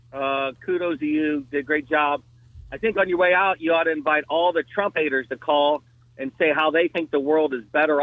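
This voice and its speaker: A man's voice: fairly high pitch, no room sound. A man calling in to a radio show, chatting casually over the telephone. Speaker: radio phone-in caller. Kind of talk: casual phone chat. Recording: dull band-limited phone line.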